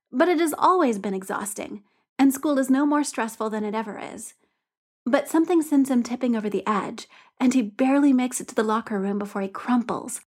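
A woman reading a story aloud in an expressive, gently paced narration. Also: on the high side, breathy edge.

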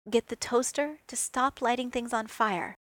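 A woman reading fan fiction aloud, voicing an earnest, kind male soldier with a soft, hesitant delivery. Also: a little high, moderate pace, no room sound, voice pushed up, natural voice. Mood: dry.

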